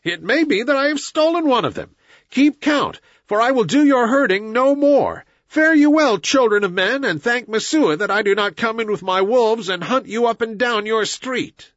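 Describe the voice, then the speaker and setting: A man's voice: sits high, sing-song, moderate pace, a bit bright, no room sound, highs cut off, pitched above the performer's own voice, exaggerated voice. A man voicing a bold, proud young jungle boy in a storybook, with lofty, declamatory archaic phrasing. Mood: scornful.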